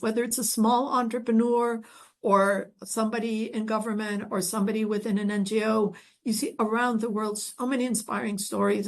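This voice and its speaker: A woman from Colombia, an expert guest speaker answering audience questions at an online event in an earnest, emphatic manner. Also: a little high.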